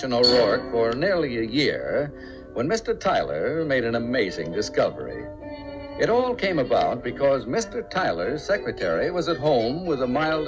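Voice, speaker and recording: Male radio theater host and narrator, 1950s formal style, very lively intonation, faint music on a vintage broadcast. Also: mid-range voice, low-quality recording.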